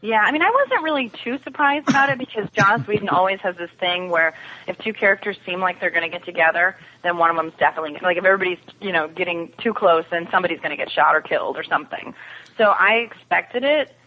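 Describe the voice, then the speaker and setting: A woman's voice: on the low side, lively intonation, fast, slightly rough. A woman giving her opinions in a casual, conversational TV discussion as a podcast guest. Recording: dull phone-like lo-fi connection.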